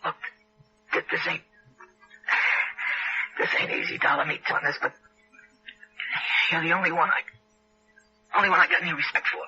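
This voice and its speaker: A weak dying american crook in an old radio play, very sharp thin tone, halting rough talk, dull lo-fi sound. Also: male voice, a little high, steady tone. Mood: remorseful, grateful. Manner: halting, rough uneducated speech, trailing and repeating. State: weak, failing, gasping. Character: dying convict safecracker in early thirties, weak and remorseful. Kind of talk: 1960s American radio drama acting. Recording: dull old radio recording, faint music.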